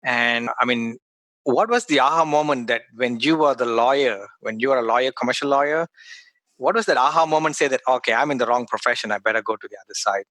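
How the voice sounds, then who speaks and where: A man's voice: medium pitch, fast talker, a bit bright, no echo. A man hosting an interview, eager and repeating words often. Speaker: podcast host. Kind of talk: interview talk.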